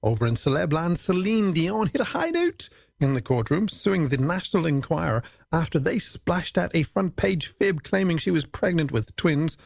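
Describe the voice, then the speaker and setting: A man's voice: a little high, very animated, normal speed, vocal fry, dry recording, clean studio sound. A man presenting a late-night music radio show in a chatty, scripted style, with playful, wordy patter aimed at the listener.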